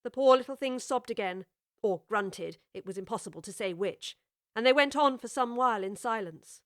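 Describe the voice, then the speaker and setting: A woman reads a storybook aloud in an even, measured way, clearly recorded on a studio mic. Her voice sits fairly high, and the sound is dry and close. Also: no echo. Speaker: narrator. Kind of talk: storybook narration.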